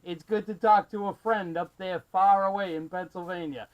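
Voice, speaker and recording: A man from Massachusetts chatting as a CB radio operator, friendly and excitable, calling out callsigns and sign-offs. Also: sits high, normal speed, poor audio. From Massachusetts, USA. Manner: excitable, friendly, prone to raising his voice. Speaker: CB radio operator running the channel from South Carolina.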